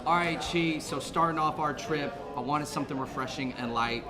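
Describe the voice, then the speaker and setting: A man hosting a casual food vlog, chatty and upbeat, slightly hoarse, exclaiming over dishes as he eats in restaurants and on a city street. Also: on the high side, moderate pace, music in the background.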